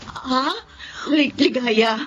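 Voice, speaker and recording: A woman's voice: high voice, drawn-out words, a bit bright, muffled recording, a little hiss or hum, full character voice. An actress playing a guilty, frightened woman pleading with her betrayed friend in a Filipino radio drama.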